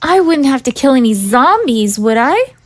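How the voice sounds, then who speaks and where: A woman voicing a gentle, hesitant teenage girl in a survivor world. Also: high-pitched, big pitch swings, moderate pace, no echo. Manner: hesitant, soft-hearted. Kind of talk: amateur audio drama acting.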